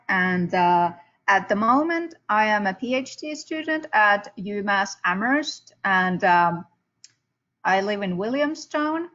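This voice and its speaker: Slow-talking woman. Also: mid-range voice, fairly bright tone, lo-fi sound. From Finland. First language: Finnish. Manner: halting, many fillers and restarts. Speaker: panelist, PhD student. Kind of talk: casual panel discussion.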